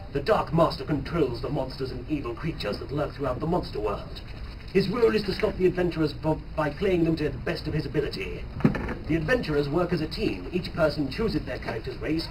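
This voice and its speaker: Sinister scheming game master played by a man, formal and theatrical, highish pitch, noisy live manga reading. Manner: theatrical, menacing. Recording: noisy live convention recording, far from mic.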